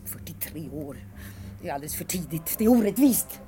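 An actress playing a bitter, lonely woman of forty-three in an intense radio monologue, swinging between hushed dread and outbursts. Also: low voice, expressive. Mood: desperate, demanding. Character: bitter, lonely 43-year-old woman, self-pitying and raging. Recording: archive radio recording with background noise.

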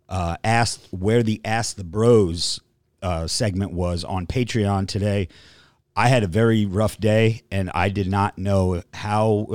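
A man's voice: lowish voice, a little mellow, dry recording, studio quality. A man hosting a casual livestream podcast, rambling a little and reading out a long list of supporter names. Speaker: podcast host.

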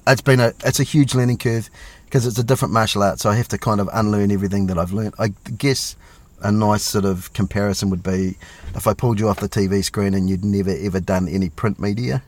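A man's voice: on the low side, steady tone, fast, a little mellow, no echo. A middle-aged man, a police officer chatting casually and self-deprecatingly as an interview guest.

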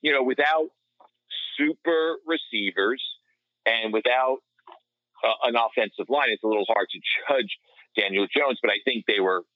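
A man's voice: medium pitch, moderate pace, pauses often, no echo. A man giving casual, conversational sports analysis as a podcast co-host, on lo-fi audio. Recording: dull, lo-fi remote audio.

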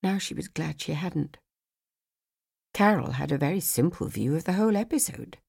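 A woman narrating a novel as a scripted audiobook, with an expressive, measured storytelling manner. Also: low-pitched, gravelly, breathy edge.

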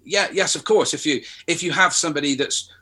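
A man's voice: fairly high pitch, a little fast, sharp tone, husky. A man, a guest on a radio panel, talking frankly and forthrightly.

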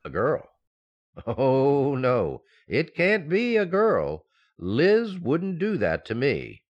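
A man's voice: mid-range voice, extremely slow, lo-fi sound, heavily acted. Man acting a flustered husband, wildly swooping voice. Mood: indignant. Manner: earnest, flustered, over-excited. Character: flustered, naive, excitable expectant husband in a sitcom. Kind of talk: old-time radio sitcom acting.

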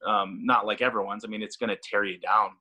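A man's voice: on the low side, steady tone, quick pace, pushed and bright, slightly rough. A man, a throwing coach, chatting casually and often repeating his words as he talks. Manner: stammering, repeats words, laughs easily. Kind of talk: casual podcast chat.

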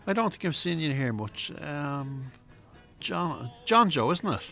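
Bright-voiced barman. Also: a little high, big pitch swings, measured pace, gravelly, faint music behind, heavily acted. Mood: affable, tactful. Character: chatty, tactful barman of a quiet local pub. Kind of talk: naturalistic radio drama acting.

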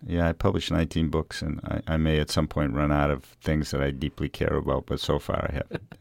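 Very deep, gravelly middle-aged American man. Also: completely flat delivery, fairly quick, no room sound. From Revere, Massachusetts, USA. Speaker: novelist being interviewed. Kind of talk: conversational interview.

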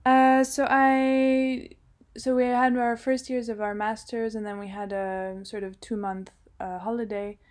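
A young woman raised in Switzerland talks through her answers in a mellow, warm voice. Her pitch stays fairly flat, and she pauses often with fillers and restarts her sentences as she works out what she wants to say. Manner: hesitant, many fillers and restarts. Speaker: guest, designer. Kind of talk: casual interview answers.

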